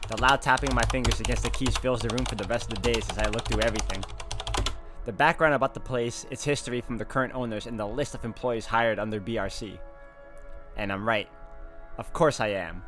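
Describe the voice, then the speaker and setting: A man's voice: mid-range voice, a bit bright. A man doing a let's play, reading visual novel narration aloud and adding his own off-the-cuff reactions. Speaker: video game let's player. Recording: game music behind the voice. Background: game music.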